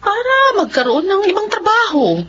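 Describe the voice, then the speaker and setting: A woman playing a caring, hardworking mother in a radio drama, soothing and expressive, with a Filipino accent. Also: very high voice, normal speed, slight background noise. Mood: earnest. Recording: muffled radio drama audio.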